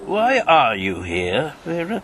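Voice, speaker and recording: A man's voice: on the high side, wildly swooping pitch, slow pace, over loud music, exaggerated voice. An actor playing a talkative, needling British ex-agent in his fifties in a radio drama, rambling and sardonic. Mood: reflective, rueful. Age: 50s. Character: talkative, needling fifty-year-old male ex-wartime agent. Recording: clear background noise with birdsong.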